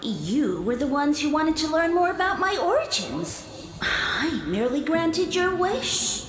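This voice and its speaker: A woman's voice: high-pitched, big pitch swings, unhurried, a bit bright, a little hiss or hum, heavily acted. A woman voicing a haughty, easily offended mushroom lord in a video game, with pompous, formal delivery. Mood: haughty, condescending. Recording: roomy echo over game music.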